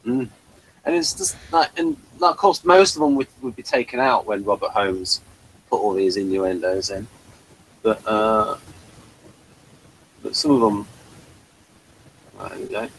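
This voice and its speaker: A man's voice: lowish voice, big pitch swings, unhurried, creaky, long pauses, a little hiss or hum, rough recording. A man hosting a casual review chat, explaining things in a rambling way.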